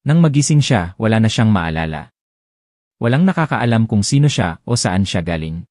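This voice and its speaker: A man's voice: lowish voice, sing-song, normal speed, darkish tone, no room sound, clean studio sound. A man narrating a romance audiobook, reading dramatically and expressively. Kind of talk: scripted narration.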